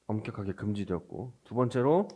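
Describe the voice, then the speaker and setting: A man speaks as a pastor in a Sunday service. His voice sits a little low. He explains the scripture conversationally, turning to the congregation with questions as he teaches. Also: animated, brisk, warm tone, husky. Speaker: pastor preaching. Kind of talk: Sunday sermon with scripture reading.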